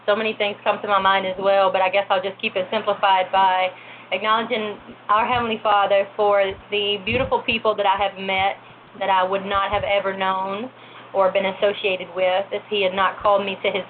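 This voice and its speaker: A woman's voice: mid-range voice, level tone, a little fast. A woman hosting a religious talk show, speaking in reverent blessings and devotional testimony. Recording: muffled phone-like line, noisy ambience.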